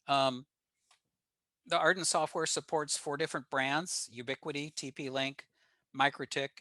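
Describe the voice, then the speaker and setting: Older man, American accent, bright crisp-edged tone, dry close sound, informal technical presenter, plain-spoken. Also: mid-range voice, no echo. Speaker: guest presenter at a radio club. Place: remote video call.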